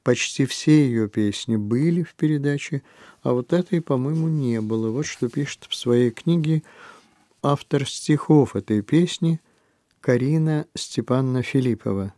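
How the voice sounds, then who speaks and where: Slow, mellow-voiced male radio presenter. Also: medium pitch, dry recording. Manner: measured, even announcer delivery. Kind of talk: calm radio presenting and letter reading.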